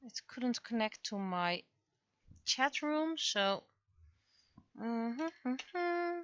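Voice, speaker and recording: A woman livestreamer chatting casually and haltingly, muttering to herself as she thinks aloud, on dull lo-fi audio. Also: on the high side, animated, a bit bright, no room sound. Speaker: livestreamer, webcomic artist.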